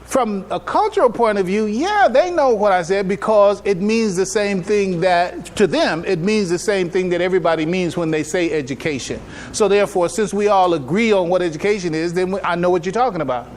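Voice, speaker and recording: A man giving a religious lecture as an imam, conversational and halting, repeating phrases and checking in with his listeners. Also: sits high, lively intonation. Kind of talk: religious lecture, explaining to an audience.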